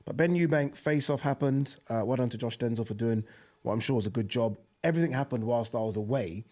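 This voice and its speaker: A man, hosting a casual boxing chat podcast in a studio, animated and quick to laugh. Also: on the high side. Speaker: podcast host. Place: studio set with dark curtains. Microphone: desk mic on boom arm.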